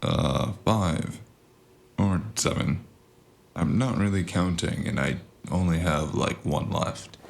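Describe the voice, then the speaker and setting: A man playing a worn-out, stubborn mercenary in an audio drama, gravelly and flippant. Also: low voice, takes it slowly, heavily acted. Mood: evasive, defensive. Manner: weary, flippant.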